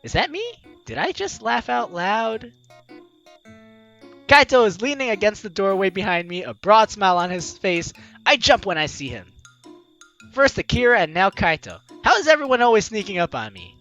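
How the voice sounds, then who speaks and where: Female playthrough host: playful, expressive read-aloud and commentary, animated pitch, bright tone, music under it. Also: normal speed, highs cut off. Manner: playful, giggly, expressive reading. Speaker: gaming video host, player reading aloud.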